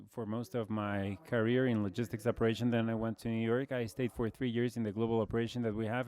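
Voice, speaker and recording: A man in his forties from Brazil with a Brazilian Portuguese accent, giving a measured conference presentation with frequent fillers. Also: medium pitch, dark tone. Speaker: conference speaker, logistics executive.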